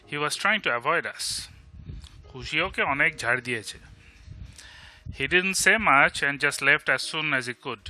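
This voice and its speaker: Man acting fast, bright-voiced, sardonic Bengali best friend. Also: mid-range voice, poor audio. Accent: Indian Bengali. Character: blunt, sardonic, protective male best friend. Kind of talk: dramatic dialogue in amateur audio drama.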